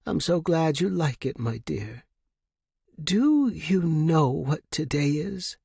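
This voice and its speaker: A man's voice: a little high, expressive, takes it slowly, creaky, a little mellow, slightly rough, dry recording, clean studio sound, voice pushed up, heavily acted. A man voicing an elderly, wealthy woman in an audiobook story, formal, gracious and affectionate. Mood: tender, fond.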